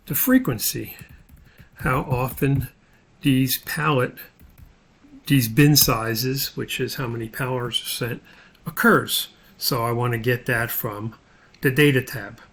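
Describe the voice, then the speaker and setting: A man with a gravelly, husky voice narrating an unscripted spreadsheet tutorial as an instructor, thinking aloud in a halting, step-by-step way. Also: medium pitch, a bit bright.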